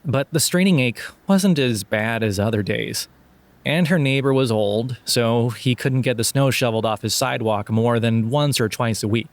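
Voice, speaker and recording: A man narrating a scripted audio drama in a measured storytelling delivery. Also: mid-range voice.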